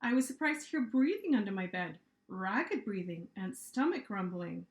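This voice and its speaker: A woman reading a children's story aloud as an author, with expressive narration. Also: medium pitch, normal speed. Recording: echoey room.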